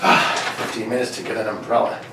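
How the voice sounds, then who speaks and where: A man's voice: fairly low pitch, flat delivery, a little fast, natural voice. A man playing a brusque, impatient police inspector in an amateur 1940s-style radio play, barking questions and orders. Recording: echoey room, distant mic, faint noise.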